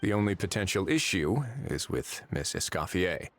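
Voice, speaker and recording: A man voicing an affable, easygoing male official in a video game, relaxed and lightly wry. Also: deep voice, normal speed, a little hiss or hum, heavily acted. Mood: easygoing, measured. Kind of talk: video game dialogue acting. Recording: game music behind the voice. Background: background music.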